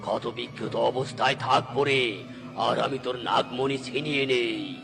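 Cartoon villain: boastful male cloud demon, played by a man, gravelly and drawn-out. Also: fairly even intonation. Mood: menacing. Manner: slow, menacing, booming villain delivery. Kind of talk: cartoon story voice acting. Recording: loud background music.

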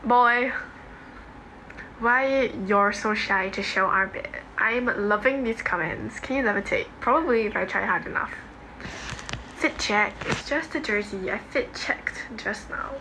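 Young Singaporean woman, livestream host, medium-pitched and offhand, slangy casual chat. Phone mic in a room with clear background noise. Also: a little slow. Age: young adult. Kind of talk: casual livestream chat, reading and answering comments. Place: home bedroom, indoors. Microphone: phone at arm's length. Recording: noisy phone recording in a room.

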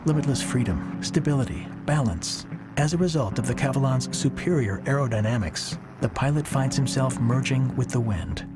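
A man reading a scripted promotional voice-over in a measured, deliberate way, pausing slowly between phrases. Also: mid-range voice, expressive. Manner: measured, deliberate delivery with slow pauses. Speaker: corporate video narrator. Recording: voice-over under loud music.

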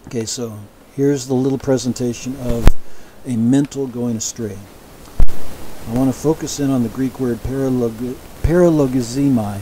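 A man giving a measured, explanatory Bible lecture, often restarting his sentences and adding asides. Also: mid-range voice, steady tone, warm tone, slight background noise, low-quality recording. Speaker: Bible teacher. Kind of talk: sermon-style Bible lecture.

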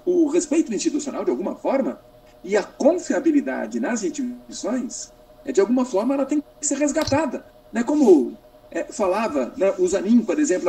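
A Brazilian man with a high, very animated voice speaks in an academic discussion. He keeps stopping and restarting as he searches for his words. The sound is a distant video-call mic. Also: a little hiss or hum. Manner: halting, searching for words, repetitive. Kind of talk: extended philosophical question in online panel.

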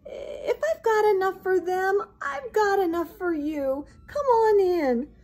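A woman's voice: extremely high pitch, expressive, slow pace, darkish tone, voice pushed up, heavily acted. A woman voicing an exasperated but hospitable young boy in a storybook, with dramatic dismay. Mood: resigned welcoming.

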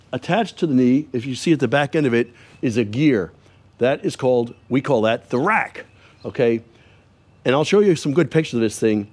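A man's voice: mid-range voice, a little mellow. Older man, folksy plainspoken host, wry asides, outdoors, lapel mic. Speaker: host showing his restored sawmill. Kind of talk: casual show-and-tell explanation. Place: outdoors under an open sawmill shed.

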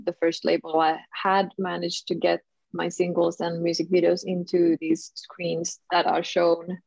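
A woman's voice: on the low side, flat delivery, a little slow, gravelly, darkish tone, husky, no room sound. Finland Swedish woman on a video call. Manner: chatty, many fillers, frequent laughs. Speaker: singer, guest. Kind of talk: casual video-call interview. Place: home room, indoors. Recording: dull lo-fi video call audio.

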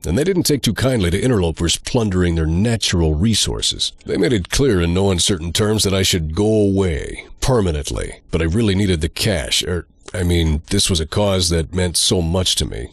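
An actor playing a sardonic, amoral slacker antihero in a video game, husky and deadpan. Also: male voice, low voice, dry recording. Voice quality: husky, gravelly. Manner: deadpan, sarcastic, dry. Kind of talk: game cutscene narration.

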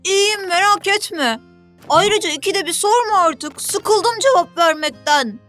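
A woman voicing a plucky, proud but good-hearted young sea creature, earnest and expressive, in a children's cartoon. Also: extremely high pitch, unhurried, heavily acted. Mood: sheepish, weary. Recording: music bed under the voice.

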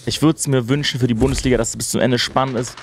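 A young man chats away as he plays, enthusiastic and easily sidetracked. His voice sits at an ordinary middle pitch, close and clear on a boom mic in a small home room. Faint game gunfire and mouse clicks sit low behind him. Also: steady tone, quick pace, slight background noise. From Berlin, Germany. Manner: chatty and enthusiastic, rambling asides. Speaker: gaming streamer. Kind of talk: casual chat while gaming. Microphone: studio mic on a boom arm, wearing headphones.

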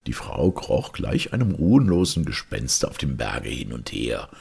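A man with an extremely deep, husky voice narrates a fairy tale. He takes his time and delivers it theatrically, with wide swings in pitch. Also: very animated, a little mellow. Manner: theatrical, deliberate. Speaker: storyteller, reader.

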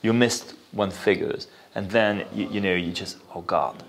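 A man with a French accent giving explanatory interview answers, halting as he thinks aloud and often saying "you know". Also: low voice. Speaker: interview guest, venture capital investor. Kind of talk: spoken interview, explanatory talk.

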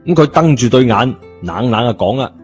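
A man's voice: medium pitch, lively intonation, normal speed, darkish tone. A man narrating a story in a colloquial scripted style, in a dull recording. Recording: dull lo-fi audio, music behind.

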